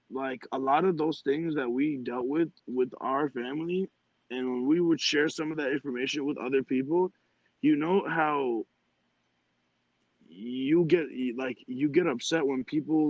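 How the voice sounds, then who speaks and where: A young man hosts his own podcast in loose, rambling talk, blunt and full of swearing. His voice sits at a middling pitch. The sound is dry and a little dull, like a cheap home mic. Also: no room sound. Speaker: podcast host. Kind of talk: casual podcast chat.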